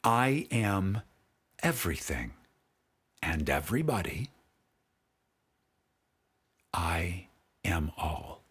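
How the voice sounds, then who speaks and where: Slow, husky man reading a spiritual audiobook. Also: deep voice, big pitch swings, fairly bright tone. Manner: solemn, measured, with long pauses. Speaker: audiobook narrator.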